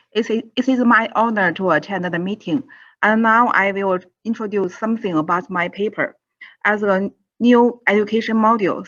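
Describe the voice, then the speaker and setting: Woman giving a formal conference pitch. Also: fairly bright tone. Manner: careful, formal, with restarts. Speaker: conference presenter. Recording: slightly dull lo-fi audio.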